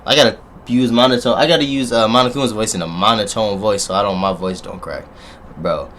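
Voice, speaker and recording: A man with a deep voice doing animated, joking let's play commentary and talking straight to his audience. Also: fairly quick, slight background noise. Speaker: gaming YouTuber.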